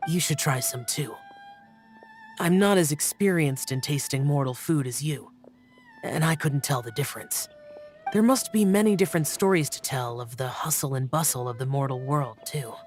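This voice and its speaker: A man voicing a reserved, gentle otherworldly guardian in a video game, quiet, solemn and measured. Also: fairly high pitch, soft background music, close to an everyday voice.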